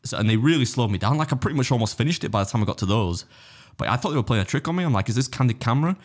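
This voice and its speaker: A man's voice: mid-range voice, lively intonation, very fast. An English man hosting a podcast, chatting casually in a rambling, jokey way and often laughing at himself. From England. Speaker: podcast host. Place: podcast studio.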